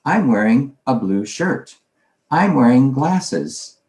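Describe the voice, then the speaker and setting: A man's voice: mid-range voice, big pitch swings, takes it slowly, a little mellow. A man giving a recorded conference talk on language teaching, conversational, often asking himself questions aloud. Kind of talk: recorded presentation lecture. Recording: roomy echo.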